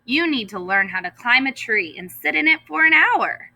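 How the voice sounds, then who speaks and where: A woman's voice: sits high, animated, moderate pace, gravelly, sharp tone, voice pushed up, full character voice. A woman voicing a proud, earnest male school principal from a storybook, speaking emphatically with relish. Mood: earnest, announcing. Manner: emphatic, declamatory, repeats words with relish.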